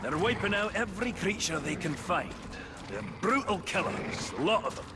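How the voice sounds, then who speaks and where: A man's voice: high voice, animated, normal speed. A man playing a boisterous, wisecracking dwarf hunter in a video game, with a hearty, playful, theatrical delivery. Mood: explaining. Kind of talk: video game character acting. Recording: loud game ambience noise behind.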